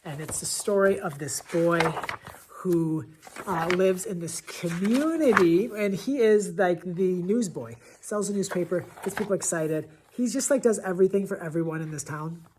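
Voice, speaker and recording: A man's voice: high voice, normal speed, darkish tone, a little hiss or hum, low-quality recording. An American man, a schoolteacher, recommending books in a casual vlog with an enthusiastic, gushing and emphatic manner. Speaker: elementary school teacher and book reviewer.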